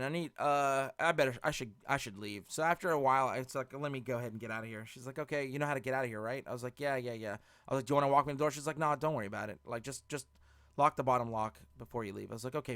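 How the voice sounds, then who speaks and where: Male storyteller, animated and profane, bright forceful tone, close dry sound, slips into reenacted dialogue. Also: mid-range voice, no echo. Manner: animated, laughing as he talks, reenacts both sides of conversations. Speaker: storyteller and host of a live show. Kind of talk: casual, profane anecdote storytelling with acted dialogue.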